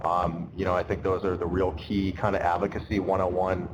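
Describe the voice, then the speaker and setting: A man's voice: sits low, little pitch movement, fairly quick, dull audio, noisy background. A man recovering from an illness and starting to lose his voice, answering questions in a webinar in a loose, um-filled way. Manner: many ums, loose and conversational. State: getting over an illness, slightly losing his voice. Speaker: advocacy program staff.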